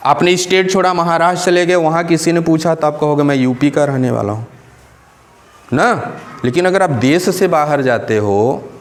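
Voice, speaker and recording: Questioning male teacher, faint hum. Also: fairly high pitch. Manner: asks questions and answers them himself; repeats key terms, mixing English and Hindi. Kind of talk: classroom lecture. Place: classroom.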